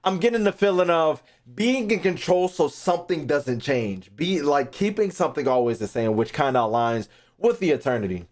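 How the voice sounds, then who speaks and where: Animated, profane man streaming game reactions. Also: a little high, normal speed. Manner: profane, slangy, repeats phrases while thinking aloud. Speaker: gaming streamer. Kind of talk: casual reaction commentary to a live chat.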